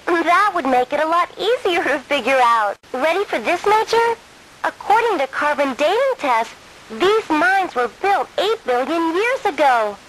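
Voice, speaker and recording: A woman's voice: extremely high pitch, big pitch swings, unhurried, a bit bright, slight background noise, heavily acted, poor audio. A woman voicing a pert young mission support operative in a video game, with a teasing briefing manner. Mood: informative. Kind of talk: video game cutscene acting.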